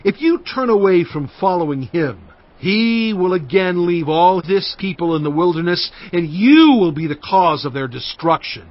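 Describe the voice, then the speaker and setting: An actor playing Moses, a stern aging prophet who speaks in a measured, rebuking way, on a dull lo-fi audio drama recording. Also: male voice, sits high, expressive, no echo.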